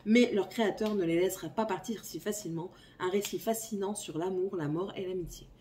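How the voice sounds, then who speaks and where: Hoarse woman with a cold, slightly off-mic. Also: mid-range voice, brisk, darkish tone, distant mic, a little hiss or hum. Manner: chatty, apologetic, coughing now and then. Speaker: book YouTuber. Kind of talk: casual reading-list chat, reading blurbs aloud. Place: home.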